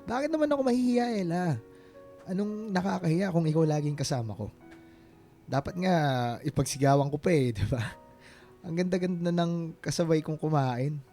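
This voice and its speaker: A mellow, fairly high-voiced Filipino man in a radio romance. He is an earnest, devoted suitor who speaks softly pleading, halting lines. Music plays faintly behind him. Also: lively intonation. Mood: reassuring. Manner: pleading, halting, stumbles over words. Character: earnest, devoted man gently courting his former girlfriend. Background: background music.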